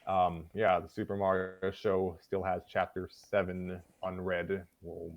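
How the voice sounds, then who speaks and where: A tired-sounding man hosting a casual livestream chat, speaking in a droll, low-energy, hesitant way. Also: level tone, a little slow, no echo, muffled recording. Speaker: host of an online story-reading show.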